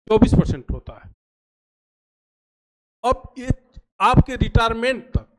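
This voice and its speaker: A man's voice: high-pitched, poor audio. A man speaks as a teacher. He explains things in an informal lecture style, didactic and emphatic, going back over key phrases so they stick. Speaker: teacher explaining pension schemes.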